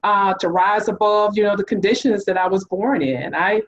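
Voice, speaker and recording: A woman's voice: mid-range voice, moderate pace. Earnest woman on a dull lo-fi line. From Mississippi. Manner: reflective, earnest. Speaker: corporate executive panelist. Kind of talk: panel discussion. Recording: slightly dull, lo-fi sound.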